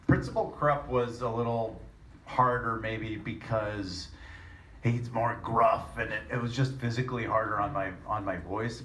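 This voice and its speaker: A man's voice: on the low side, fairly bright tone. Affable man at a press panel. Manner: affable, self-deprecating, laughs often. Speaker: voice actor on a panel. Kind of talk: casual press conference answers. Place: press conference room. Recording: roomy hall with faint noise.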